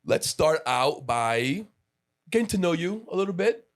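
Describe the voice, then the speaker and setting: A man's voice: fairly high pitch, big pitch swings, measured pace. Rambling man in his late thirties. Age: 30s. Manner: friendly, rambling, many restarts and asides. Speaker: podcast host. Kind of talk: casual interview chat.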